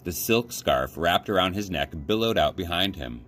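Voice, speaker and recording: A man with a low voice narrates a children's book. His intonation is animated and expressive, in a read-aloud style. There is noticeable noise in the background. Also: normal speed. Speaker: youth librarian reading aloud.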